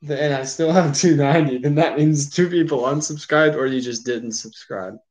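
A man's voice: a little high, moderate pace. A young man hosting a casual livestream at a table indoors, bantering in slangy, joking talk. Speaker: livestream host. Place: living room at a table, indoors. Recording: clicky background noise.

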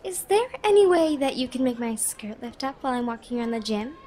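A woman playing a vain, bossy, attention-seeking high-school queen bee in a comedic audio drama, haughty and dismissive. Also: expressive, brisk, warm tone, close to an everyday voice.

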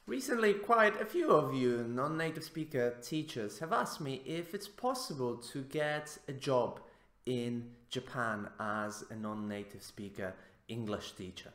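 A man's voice: medium pitch, lively intonation, unhurried, a bit bright. A man in his thirties hosting an interview and explaining to camera in an earnest way, recorded on a webcam. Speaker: host, teacher trainer. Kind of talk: interview host and intro to camera. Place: small indoor room. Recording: echoey room.

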